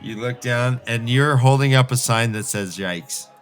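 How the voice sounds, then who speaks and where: A man's voice: mid-range voice, measured pace, soft background music. A man co-hosting a podcast, discussing and bantering casually in a thoughtful, hesitant, wry way. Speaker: podcast co-host.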